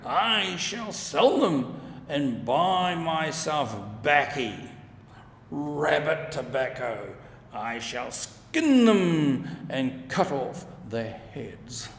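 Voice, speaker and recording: A man voicing a greedy, gloating old farmer from a storybook, with drawn-out, emphatic exclamations. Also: on the high side, gravelly, exaggerated voice. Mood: indignant. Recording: echoey room, long reverb, steady background noise.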